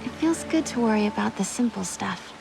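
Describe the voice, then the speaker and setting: An actress playing an earnest, gentle young heroine in a video game cutscene. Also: female voice, medium pitch, lively intonation, a little fast, creaky, music in the background, low-quality recording. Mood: wistful.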